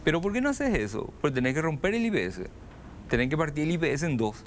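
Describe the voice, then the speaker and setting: A man's voice: a little high, big pitch swings, fast talker, slight background noise. A man, a guest making an argumentative case with rhetorical questions. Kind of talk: political discussion.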